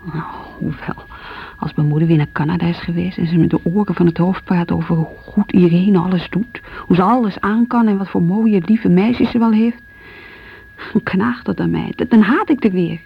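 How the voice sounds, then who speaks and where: Guilty anxious dutch wife in a radio play, hushed n hesitant, gravelly fry, muffled recording. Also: female voice, lowish voice, moderate pace. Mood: wistful, then bitter, hushed. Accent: Netherlands Dutch. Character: guilt-ridden married woman, younger sister, anxious and brooding. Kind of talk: radio drama inner monologue. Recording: dull, faintly noisy radio recording.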